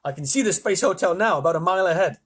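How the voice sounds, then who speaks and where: Man playing a cocky, animated astronaut. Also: high-pitched, quick pace, breathy edge, pitched above the performer's own voice, exaggerated voice. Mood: cheeky. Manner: blunt, slangy, cocky. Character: excitable, cheeky male astronaut Shanks reporting by radio.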